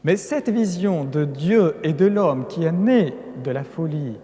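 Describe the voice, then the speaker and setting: A man's voice: on the high side, animated, unhurried, warm tone. A man speaking French as a non-native speaker, giving an earnest Lenten conference talk with emphatic build-ups and some hesitant restarts. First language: not French. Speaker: lecturing cleric. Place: large cathedral. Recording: long cathedral reverb.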